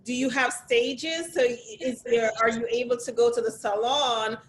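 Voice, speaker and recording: A woman hosting an interview over a video call, upbeat and welcoming, thanking her guest warmly. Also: on the high side, fairly even intonation, moderate pace, a little hiss or hum, low-quality recording. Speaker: host. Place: New York City.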